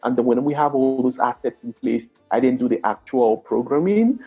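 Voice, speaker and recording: A man's voice: mid-range voice, expressive, moderate pace, mellow, husky, lo-fi sound, soft background music. A middle-aged man with a Nigerian accent, a native Yoruba speaker, explaining his work step by step as an interview guest, often restarting and repeating words. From Nigeria (Lagos). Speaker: guest, game developer. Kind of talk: interview conversation.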